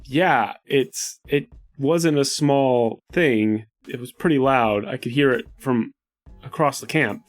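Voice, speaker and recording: A man playing a concerned, caring young male companion in a tabletop game, speaking haltingly and repeating himself. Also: mid-range voice, lightly acted. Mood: concerned, soothing.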